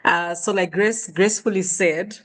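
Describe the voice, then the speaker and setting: A middle-aged woman with a Ugandan accent, speaking informally as a guest in a measured, hesitant way. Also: fairly low pitch, fairly even intonation, fairly bright tone. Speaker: guest speaker, learning consultant. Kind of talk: informal self-introduction to an online audience.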